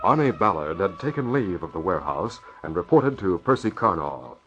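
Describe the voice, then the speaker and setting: A man's voice: deep voice, sing-song, moderate pace, over music. A man narrating an old-time radio serial in a dramatic, scripted style. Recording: dull vintage radio recording.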